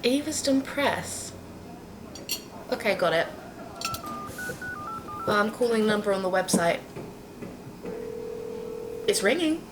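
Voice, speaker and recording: An actress playing an earnest, excitable woman who co-hosts an investigative podcast in an audio drama. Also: mid-range voice, slow pace, long pauses, background noise. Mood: businesslike. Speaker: podcast co-host.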